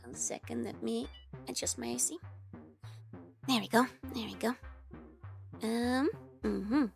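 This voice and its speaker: A woman's voice: fairly high pitch, unhurried, vocal fry, faint music behind. A young woman with a Dutch accent chatting casually on a livestream, giggly and rambling with lots of fillers. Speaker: VTuber streamer.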